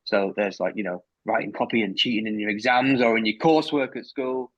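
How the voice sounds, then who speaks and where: A man hosts a podcast chat, his questions coming out in halting bits, restarts and little laughs. His voice sits low, and the sound is dry and dull, as if through a lo-fi line. The delivery stays loose and informal. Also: steady tone, no echo. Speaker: podcast host. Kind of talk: casual chat.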